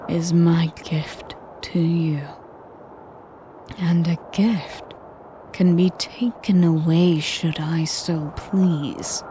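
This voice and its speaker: A woman playing an ancient, sadistic female air dragon in a dramatic audio roleplay, breathy and whispery, speaking deliberately with haughty, menacing condescension. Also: on the low side, warm tone, a little hiss or hum. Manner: slow, deliberate, condescending, taunting. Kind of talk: dramatic scripted roleplay monologue.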